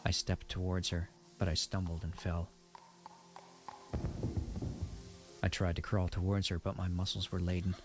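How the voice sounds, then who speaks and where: Dramatic narrator, male actor: ashamed pony worker, low gravelly voice, grave and confessional. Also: flat delivery, fairly quick, music in the background. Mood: ashamed, sorrowful. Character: guilt-ridden adult pony factory worker, ashamed, resigned. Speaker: first-person narrator.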